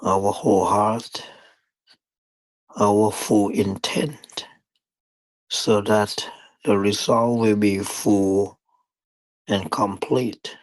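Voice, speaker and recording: Slow, low-voiced man guiding meditation. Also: little pitch movement, no echo, rough recording. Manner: deliberate, halting phrasing with long pauses. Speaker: meditation teacher. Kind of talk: guided meditation dharma talk.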